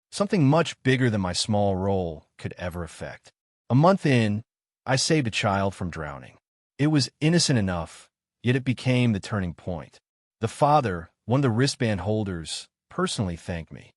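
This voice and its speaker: A man's voice: lowish voice, dry recording, clean studio sound. Man narrating a horror story in suspenseful bursts. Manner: halting, suspenseful delivery in short broken phrases. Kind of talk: dramatic first-person story narration.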